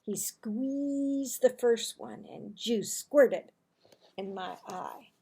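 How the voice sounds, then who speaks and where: A woman reads a children's story aloud with deliberate, dramatic emphasis. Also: on the high side, gravelly, warm tone, breathy edge. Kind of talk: expressive scripted read-aloud narration. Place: small room indoors at a desk. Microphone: computer webcam microphone at arm's length.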